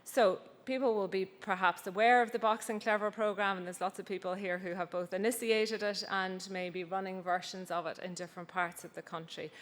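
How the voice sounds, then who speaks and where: A woman's voice: medium pitch, a bit bright. Woman speaking in a reverberant hall. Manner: conversational, self-deprecating, many ums. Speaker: conference speaker, researcher. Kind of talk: conference presentation. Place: conference hall. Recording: long reverb of a conference hall.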